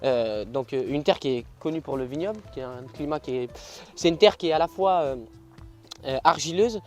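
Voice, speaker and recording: An excitable man from France talks through a vintage car outdoors, full of "euh"s and casual swearing. His voice sits a little high, with music running under the talk. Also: brisk. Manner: enthusiastic, filler-heavy, swears casually. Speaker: car video co-host. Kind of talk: casual chat about a car.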